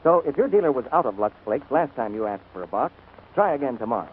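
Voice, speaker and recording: Animated male announcer, scratchy 1940s radio. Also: medium pitch, fast talker. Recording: scratchy, narrow-band 1940s radio broadcast.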